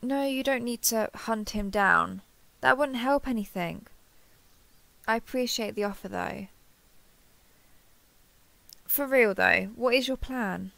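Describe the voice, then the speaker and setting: A woman's voice: a little high, takes it slowly, gravelly, pauses often, fairly bright tone, no echo, exaggerated voice. A woman playing a kindhearted, awkward young woman who gets easily flustered, speaking hesitantly and earnestly in an intimate roleplay audio drama.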